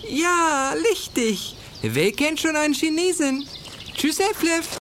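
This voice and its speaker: A man's voice: extremely high pitch, lively intonation, takes it slowly, fairly bright tone, a little hiss or hum, exaggerated voice. An actor playing a cheeky, self-assured teenage boy detective in a children's audio drama. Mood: cocky, defiant.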